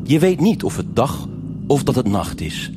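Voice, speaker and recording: A man narrating a scripted live noir radio drama in a measured, atmospheric way with short phrases. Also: mid-range voice, very animated, noisy background. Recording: live stage with loud music.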